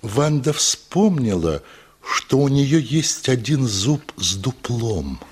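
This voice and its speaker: A man narrates a literary story for radio. His voice is mid-pitched and husky, with animated intonation. He tells it slowly and dramatically.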